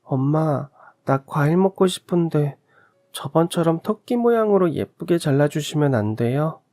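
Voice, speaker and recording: A man's voice: on the high side, lively intonation, a little slow, mellow, no room sound, clean studio sound, pitched above the performer's own voice. A man voicing a young child who asks sweetly and anxiously in a radio drama. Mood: feigned cheer.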